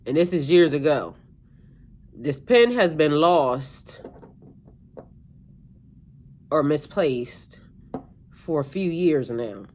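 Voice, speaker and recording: A woman's voice: sits low, lively intonation, takes it slowly, a little mellow. A middle-aged woman, a tarot reader, talking casually to her viewers in a meandering way with lots of fillers and restarts, her voice a little stuffy-nosed. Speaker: tarot reader and spiritual coach. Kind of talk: casual rambling monologue to camera.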